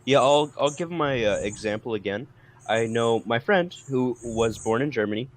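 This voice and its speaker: A man in a casual group debate, challenging others in a methodical, halting way on a noisy recording. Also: medium pitch, expressive.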